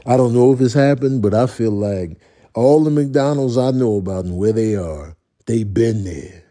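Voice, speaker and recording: A male comedian giving a comedic rant in slangy, emphatic, deadpan exasperation. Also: medium pitch, moderate pace. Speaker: comedian commentator.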